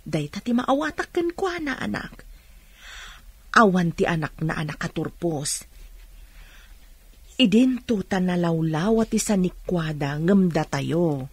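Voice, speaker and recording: A woman acting a kind, patient mother who gently counsels, in Ilocano radio drama style with a Filipino accent. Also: lowish voice, sing-song, unhurried, pauses often, slightly rough, no room sound, heavily acted. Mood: gentle, concerned.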